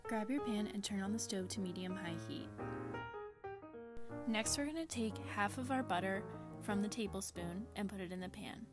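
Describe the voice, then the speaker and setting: Woman in her twenties, medium pitch, step-by-step children's tutorial narration, music playing behind. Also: normal speed. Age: 20s. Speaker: cooking show presenter and instructor.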